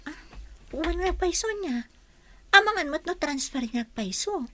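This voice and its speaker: A woman's voice: very high voice, lively intonation, unhurried, bright, forceful tone, no echo, exaggerated voice. A woman playing a playful, teasing young friend in an Ilocano radio drama.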